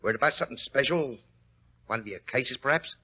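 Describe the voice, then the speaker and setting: A bright, chatty village constable. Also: male voice, medium pitch, brisk, husky. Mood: deferential, chatty. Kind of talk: 1940s radio acting. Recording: dull old radio recording.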